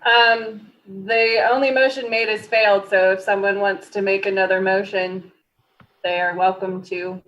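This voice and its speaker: A woman's voice: mid-range voice, little pitch movement, unhurried, fairly bright tone, dull audio. A woman working as a board secretary, calling roll and explaining procedure in a matter-of-fact way at a public meeting.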